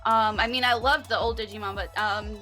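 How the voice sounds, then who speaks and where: A woman's voice: mid-range voice, fairly even intonation, fairly quick, bright, forceful tone, soft background music. A woman chatting casually as an interview guest, effusive and informal, often breaking into giggles. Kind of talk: casual chat.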